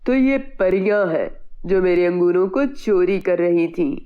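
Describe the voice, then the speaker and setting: A woman's voice: lowish voice, animated, unhurried, clear, resonant tone, narrow, tinny audio. A woman voicing an honest, worried farm labourer in a children's moral story cartoon, earnest and plain-spoken. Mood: worried, reproachful.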